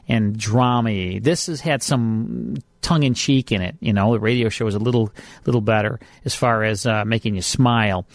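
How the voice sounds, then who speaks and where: A man's voice: medium pitch, dry recording. A man hosts a late-night radio show and chats casually with his co-host before he introduces a classic program. He talks in a friendly way and often restarts his sentences. His studio microphone sounds dry and close.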